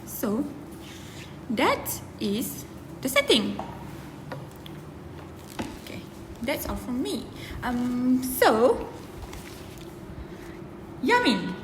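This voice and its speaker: Slow, halting young woman. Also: a little high, wildly swooping pitch. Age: young adult. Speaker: student presenter. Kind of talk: informal group presentation discussion. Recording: hall reverb with faint noise.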